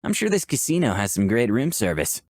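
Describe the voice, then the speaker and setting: A very animated voice in a dry, close recording, reading a visual novel's player lines and narration. The delivery is playful and flirtatious, with pitch that swings freely. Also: brisk, no room sound. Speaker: player voicing the protagonist and narration.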